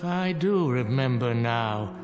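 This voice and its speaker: Reproving reverend, measured, grave, reverberant hall with music. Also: male voice, medium pitch, expressive. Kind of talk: radio drama acting.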